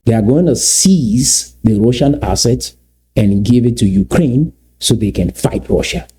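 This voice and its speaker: Middle-aged man, Cameroonian English accent, warm low timbre, lecturing commentator who poses rhetorical questions. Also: lively intonation, unhurried. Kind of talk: commentary on played clips.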